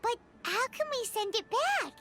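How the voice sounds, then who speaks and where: A woman's voice: very high-pitched, big pitch swings, measured pace, pushed and bright, soft background music, exaggerated voice. A woman voicing a small, childlike, chatty and cheeky fairy companion in a video game, exclaiming as she talks. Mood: puzzled. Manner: exclamatory, refers to self in third person.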